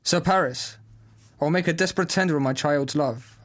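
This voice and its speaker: A man's voice: a little high, moderate pace, a bit bright, natural voice. A man playing a domineering, hot-tempered noble father, commanding and declamatory, in a Shakespeare audio drama. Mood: eager, commanding.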